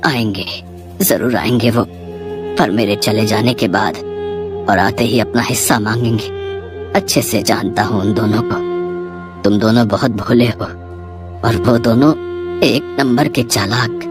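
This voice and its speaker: A man voicing a weary, resigned old father on his deathbed, speaking haltingly. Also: on the low side, over music, lightly acted.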